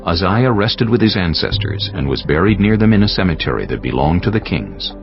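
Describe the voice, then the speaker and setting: Very deep, gravelly male scripture narrator. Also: sing-song, brisk, muffled recording, faint music behind. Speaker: audiobook narrator.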